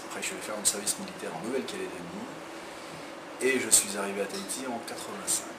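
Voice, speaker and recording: A man's voice: fairly low pitch, quick pace. A man in his fifties from France, a publisher answering interview questions in his office, hesitant with many fillers and restarts. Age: 50s. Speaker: book publisher. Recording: roomy, far from the mic, loud ambient noise.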